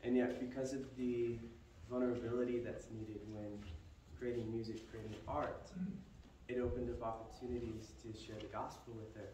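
A young man giving a halting, earnest ministry update in a large church sanctuary, heard from a distant camera with no microphone. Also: mid-range voice, flat delivery, dark tone. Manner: earnest, slow and halting with fillers. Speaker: missionary guest speaker. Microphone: far camera, no microphone. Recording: echoey hall from far off, background hiss.

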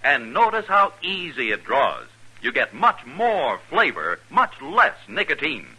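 American male ad announcer, very bright, swooping intonation, vintage radio. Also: fairly high pitch, huge pitch swings, a little slow, husky, low-quality recording. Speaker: commercial announcer. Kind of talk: 1950s radio advertising read. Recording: vintage 1950s radio broadcast.

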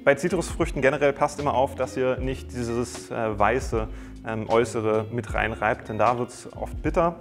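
A young man hosting a cooking video, explaining each step in a friendly, chatty way with small jokes, recorded on a lapel mic in a bright home kitchen. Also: medium pitch, normal speed, soft background music. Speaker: cooking channel host. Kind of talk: casual cooking tutorial.

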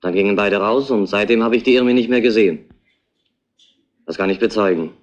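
An actor playing a grumpy, evasive roadhouse cook in a retro crime radio play. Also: male voice, on the low side, brisk, lightly acted. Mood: eager witness. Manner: grudging, defensive. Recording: dull, band-limited old radio sound.

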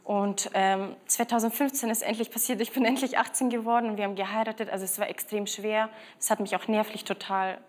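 A woman in her twenties, a casting show contestant from Kazakhstan, chatting in an excited, emotional way in a bright TV studio. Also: mid-range voice, fairly quick, few pauses, in a large hall. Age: 20s. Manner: breathless, gushing, emotional. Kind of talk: excited casual chat.

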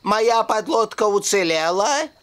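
A man's voice: high voice, animated, measured pace, fairly bright tone, slight background noise. A man voicing a fussy, self-important wise old elf in a cartoon dub, with a pompous, hesitant delivery.

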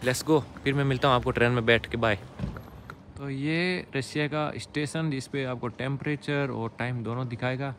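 A man's voice: mid-range voice, steady tone, normal speed, slight background noise. A young man from India talks casually to his viewers, filming himself with a phone at arm's length on an outdoor railway platform at night. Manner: chatty, addresses viewers directly, drops to a hush near sleeping passengers. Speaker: travel vlogger. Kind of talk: casual travel vlog to camera. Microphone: phone held at arm's length.

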